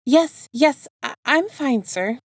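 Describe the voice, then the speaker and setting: A woman voicing a nervous, excitable teenage boy student who stammers and rambles as she reads a story aloud. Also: high-pitched, takes it slowly, no echo, studio quality, pitched above the performer's own voice. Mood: flustered.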